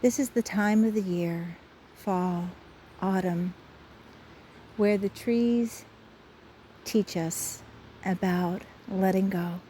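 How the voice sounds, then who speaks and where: A woman leading a guided imagery session in a soothing, measured way with frequent pauses, recorded outdoors on a phone. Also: medium pitch, steady tone, mellow. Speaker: guided imagery host and soul coach. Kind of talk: guided meditation talk. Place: outdoors by a river. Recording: faint outdoor ambient noise. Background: faint river ambience.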